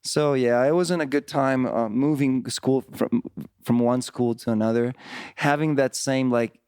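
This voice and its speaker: A middle-aged Chilean man, Spanish his first language, telling his story in a casual interview, halting with fillers and restarts. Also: mid-range voice, steady tone, darkish tone, clean tone, no room sound, studio quality. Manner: halting, many fillers and restarts, frequent laughs. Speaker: worship pastor, interview guest.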